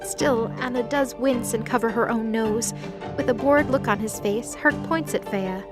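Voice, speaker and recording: A woman's voice: fairly high pitch, moderate pace. A woman reading scripted third-person narration for an audio drama. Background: music under the voice.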